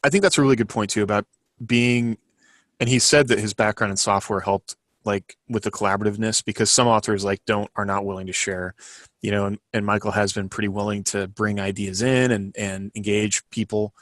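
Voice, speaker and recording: A man's voice: fairly low pitch, fairly even intonation, brisk, fairly bright tone, no room sound, clean studio sound. A man co-hosting a casual podcast, rambling and thinking aloud as he talks. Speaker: podcast co-host and co-author.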